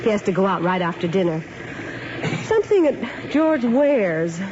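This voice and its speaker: Casting: fussy American mom, very expressive pitch, brisk, muffled noisy old radio recording. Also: female voice. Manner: brisk, gently scolding. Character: fussy, proper American housewife mother. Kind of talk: 1950s radio drama acting.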